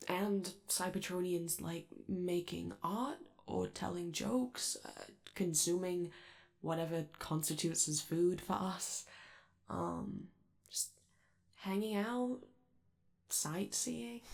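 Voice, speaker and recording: Casting: woman to play sweet, fidgety robot crewmate for ASMR comfort audio; slightly low, gravelly fry, shy rambling manner. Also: a little mellow, full character voice. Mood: hesitant, encouraging. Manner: hesitant, rambling, fidgety, reassuring. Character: friendly, nervous, chatty robot alien crewmate, gentle and awkward. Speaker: ASMR roleplay performer. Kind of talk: ASMR comfort roleplay, conversational.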